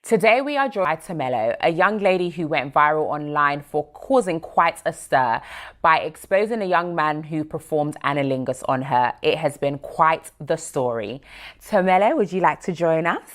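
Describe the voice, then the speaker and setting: A woman's voice: low voice, expressive, moderate pace. A woman, hosting an interview show and teasing her guest with amused laughs.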